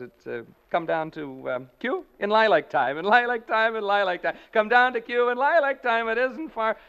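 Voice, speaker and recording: Jittery, swooping-voiced American radio broadcaster. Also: male voice, high-pitched, vocal fry, fairly bright tone, heavily acted. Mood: giddy unease. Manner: rambling, stammering, nervous laughter. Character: frightened, jittery male radio broadcaster unraveling in a haunted house. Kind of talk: 1940s radio suspense acting. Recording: dull, lo-fi vintage radio recording.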